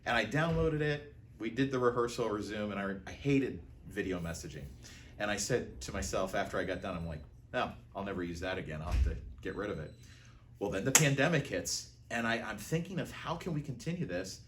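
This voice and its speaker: A man's voice: fairly low pitch, lively intonation, fairly quick, a bit bright, slight background noise. An American man giving a conversational interview account as a theater art director, telling it like a storyteller.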